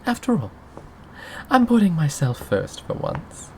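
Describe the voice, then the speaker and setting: A man's voice: on the high side, wildly swooping pitch, measured pace, vocal fry, slight background noise, exaggerated voice, low-quality recording. A man playing a possessive, mocking yandere captor in an ASMR roleplay, with a drawling, theatrical, condescending manner. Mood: mocking, smug. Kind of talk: scripted ASMR roleplay audio drama.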